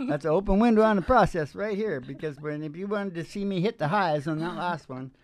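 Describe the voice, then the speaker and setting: A man's voice: sits high, lively intonation, fairly quick. An older man hosting a music show, chatting casually and in a rambling, opinionated way with crude humor, close on a microphone. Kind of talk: rambling casual chat between songs. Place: small dim curtained room. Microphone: close vocal microphone on a stand. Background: acoustic guitar.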